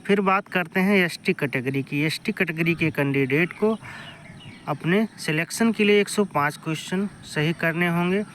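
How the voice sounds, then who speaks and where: A man's voice: fairly high pitch, moderate pace, a bit bright, slight background noise. A man explaining exam analysis to students in informal, repetitive, step-by-step phrasing. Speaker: exam-coaching presenter.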